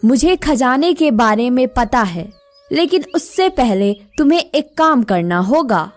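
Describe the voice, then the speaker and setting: A patient, earnest village widow played by a woman narrator from a cartoon moral tale. She instructs her grown sons slowly, in a raised, high voice. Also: lively intonation, soft background music. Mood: patient, instructing.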